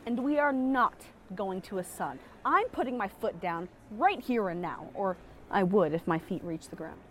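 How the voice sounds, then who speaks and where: A woman playing a small, sardonic, quick-witted halfling bard in a fantasy audio drama, with a theatrical way of talking. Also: medium pitch, animated, a bit bright, a little hiss or hum, lightly acted. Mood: wry.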